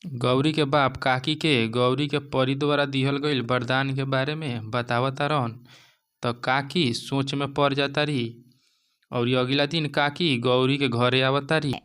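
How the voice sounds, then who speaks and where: A man's voice: medium pitch, steady tone, unhurried, fairly bright tone, clear, resonant tone. Male narrator for a moral tale, Bhojpuri accent, clean resonant mid-range voice. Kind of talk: scripted moral-story narration.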